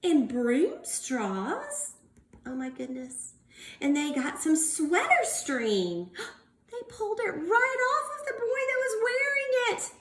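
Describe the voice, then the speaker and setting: A woman's voice: extremely high pitch, wildly swooping pitch, slow pace, reverberant, noisy background. A woman teacher reading aloud to young children, encouraging them with questions and exaggerated enunciation. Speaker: early-years teacher.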